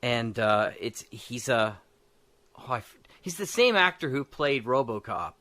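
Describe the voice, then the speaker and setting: A man's voice: medium pitch, expressive, unhurried, pushed and bright. A man chatting casually on a podcast about tabletop games, rambling as he thinks aloud and often checking that he is followed. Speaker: podcast participant. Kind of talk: casual discussion of tabletop game design.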